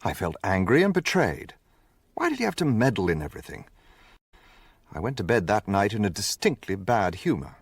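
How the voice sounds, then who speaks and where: Radio drama: polite, naive English companion and narrator, English accent, lowish animated voice, close dry sound. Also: male voice, moderate pace, slightly rough, no room sound, full character voice. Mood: rueful. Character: earnest, naive English gentleman, detective's loyal companion. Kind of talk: radio drama dialogue and first-person narration.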